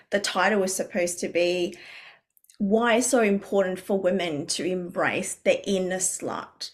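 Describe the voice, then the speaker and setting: Earnest woman from Vietnam, podcast guest. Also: medium pitch. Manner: earnest, reflective, with many fillers and restarts. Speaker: guest coach. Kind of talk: podcast interview.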